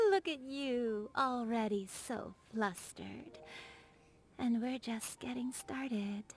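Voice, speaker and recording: Coy, unhurried, teasing sweetheart. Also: female voice, on the high side. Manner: playful, coaxing. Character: teasing, affectionate young woman, coy and self-assured. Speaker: game character voice actor. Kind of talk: voiced game dialogue.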